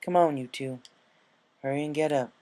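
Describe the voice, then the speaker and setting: A man's voice: fairly high pitch, fairly even intonation, moderate pace, warm tone, voice pushed up, lightly acted. A man voicing a stern but caring female farm pony in a storybook, with a folksy, firm, plain-spoken manner.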